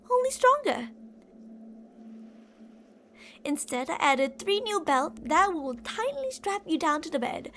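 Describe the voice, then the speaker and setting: A woman's voice: high voice, slight background noise. A woman playing an obsessive older female kidnapper in an ASMR roleplay, cooing and possessive, doting yet menacing, with theatrical delivery. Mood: calm, doting. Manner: cooing, possessive, theatrical, with pet names and whispered phrases.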